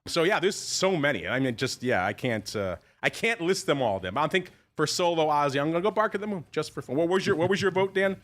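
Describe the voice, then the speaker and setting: A man hosting a podcast, chatting casually and joking around with a deep voice. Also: lively intonation, fast talker, few pauses, a bit bright. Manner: jokey, rambling. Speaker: podcast host. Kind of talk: casual chat.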